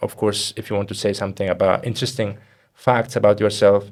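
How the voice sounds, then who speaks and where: A man's voice: on the low side, level tone, racing, darkish tone. A man hosting a podcast interview, friendly as he asks his guests questions. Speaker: podcast host.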